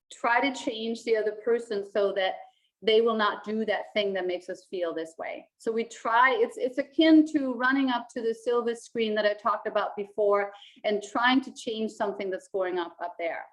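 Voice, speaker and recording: A woman, teaching to camera from a small room in a calm, reflective way. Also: a little high, rough recording. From Denmark. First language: Danish. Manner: calm, reflective, gestures a lot with her hands. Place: small indoor room with a plain wall.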